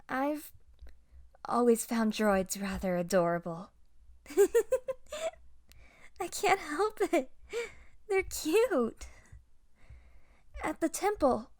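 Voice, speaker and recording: A high, slow voice for a timid young Jedi fugitive, acted by a woman in an intimate audio roleplay. She is gentle and grieving, full of self-doubt, and her soft lines come out hesitantly, with pauses. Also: full character voice. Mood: shy, fond. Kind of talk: audio roleplay acting, intimate ASMR-style monologue.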